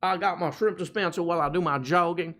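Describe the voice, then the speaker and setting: A man's voice: high voice, quick pace, fairly bright tone, voice pushed up. A man putting on a hammy drawling Southern fried-food lover. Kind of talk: comic impression on a livestream.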